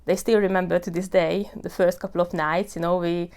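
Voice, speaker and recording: This is a woman in her thirties telling her own story in English, in an earnest, unhurried way, with plenty of "you know" and "uh". Her voice sits fairly low and sounds smooth and clear. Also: flat delivery, a little mellow. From Hungary. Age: 30s. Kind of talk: personal testimony.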